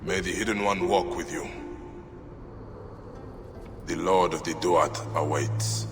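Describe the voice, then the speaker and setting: An actor playing a stern, grieving and resolute warrior protector in a dramatic video game. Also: male voice, low-pitched, fairly even intonation, slow, music in the background. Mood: solemn, consoling.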